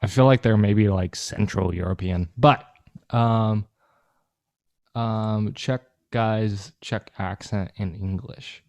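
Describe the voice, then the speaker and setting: A man's voice: on the low side, fairly even intonation, slow pace, creaky, darkish tone, no echo. A man co-hosting a casual podcast, rambling and swearing often. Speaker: podcast co-host.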